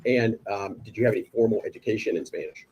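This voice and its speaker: A man working as an attorney, questioning a witness in a courtroom in a brisk, polite manner. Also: on the low side, vocal fry, husky, highs cut off. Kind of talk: courtroom direct examination.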